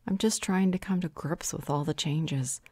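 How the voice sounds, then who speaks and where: A woman playing a thoughtful, strong-willed vampire, a werewolf's mate, speaking in a measured, dryly assertive way in a roleplay audio drama. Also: sits low, mellow, no room sound, studio quality. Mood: apologetic, concerned.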